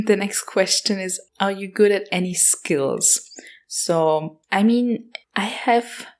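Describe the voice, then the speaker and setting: A woman's voice: medium pitch, takes it slowly. A woman in her twenties with an Austrian German accent hosting a casual solo podcast Q&A, chatty with frequent fillers and self-corrections. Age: 20s. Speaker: podcast host.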